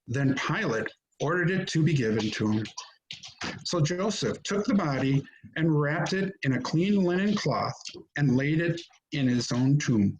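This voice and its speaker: A man narrating a scripture reading in a measured, solemn manner. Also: fairly high pitch, a little hiss or hum, rough recording. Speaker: narrator in a church Passion reading.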